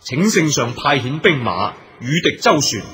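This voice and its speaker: An actor playing an earnest, righteous imperial minister in a radio drama, formal and declamatory. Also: male voice, mid-range voice, very animated, measured pace, pushed and bright. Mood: respectful, resolute. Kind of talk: Cantonese radio drama acting. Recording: long hall reverb.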